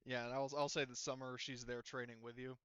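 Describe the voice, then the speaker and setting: A man's voice: medium pitch, little pitch movement, fairly quick, fairly bright tone, clear, resonant tone, no room sound, dull audio. A man running a tabletop roleplaying session as game master, talking conversationally and often searching for his words.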